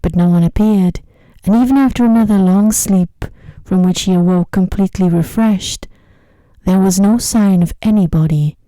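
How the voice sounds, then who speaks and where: A woman narrating a sleep story in a soft-spoken ASMR style, with a hushed, gentle, measured delivery close to the microphone. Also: fairly low pitch, dry recording, rough recording.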